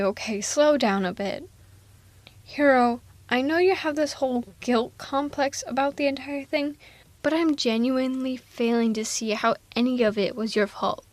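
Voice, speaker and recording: A woman's voice: on the high side, no echo, lightly acted. A woman playing a blunt but protective and caring female supervillain in a casual, wry, conversational ASMR audio roleplay. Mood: concerned, coaxing. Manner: casual, wry, reassuring, exasperated.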